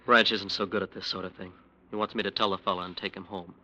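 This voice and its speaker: A defensive lawyer. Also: male voice, sits low, flat delivery, fast, bright, forceful tone, low-quality recording. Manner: defensive, smooth-talking. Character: defensive, self-justifying male lawyer. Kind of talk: 1940s radio drama acting.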